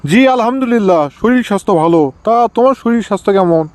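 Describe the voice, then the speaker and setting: Man voicing a high-pitched cartoon village cleric. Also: fast, a little mellow, no room sound, close to an everyday voice. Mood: courteous. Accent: Bogura, northern Bangladesh. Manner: instructive, correcting. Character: pious village Quran teacher, fatherly and correcting. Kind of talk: comic cartoon dialogue.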